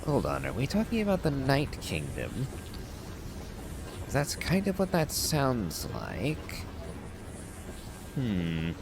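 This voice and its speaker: A man's voice: medium pitch, huge pitch swings, slow, gravelly, long pauses, noisy background. A man giving casual commentary on a game as he reads its story text aloud. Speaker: gameplay streamer reading game text aloud.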